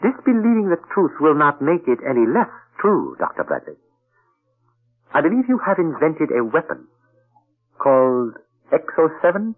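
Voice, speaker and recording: Einen höflichen Geheimagenten, alte Radioaufnahme. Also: male voice, on the high side, wildly swooping pitch, moderate pace, pauses often. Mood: courteous. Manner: formal, courteous, speaks in proverbs. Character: suave, polite male intelligence agent. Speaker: narrator and lead character. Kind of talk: 1940s radio acting. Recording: tinny old radio broadcast.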